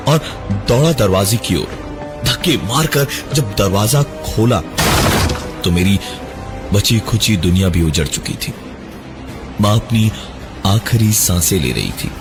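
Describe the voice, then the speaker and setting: A man narrating a scripted first-person horror story in a dramatic, halting, suspenseful manner. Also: lowish voice, animated, music in the background. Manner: dramatic, halting delivery with suspenseful breaks and repeated words.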